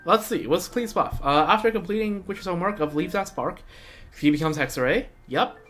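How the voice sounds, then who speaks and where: Casual streamer commentary: mid-pitched voice, halting, offhand, slangy, with game music clearly playing behind. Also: fairly bright tone. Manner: halting, repetitive, offhand asides with gamer slang. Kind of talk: casual live gameplay commentary.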